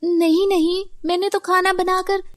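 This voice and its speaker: A woman's voice: very high voice, flat delivery, unhurried, no room sound, studio quality, voice pushed up. A woman voicing a meek, kind, put-upon young storybook heroine with an earnest, pleading manner.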